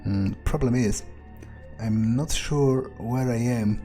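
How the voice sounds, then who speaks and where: A man thinking aloud in character as he plays a video game, muttering deliberately and swearing now and then. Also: medium pitch, mellow, soft background music. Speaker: gamer doing an in-character playthrough.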